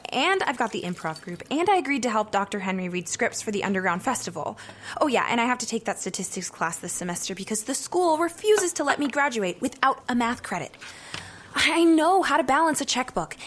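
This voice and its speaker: A woman's voice: a little high, a little hiss or hum. A woman playing a sardonic, teasing young theater student in an audio drama. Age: young adult. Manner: dry teasing.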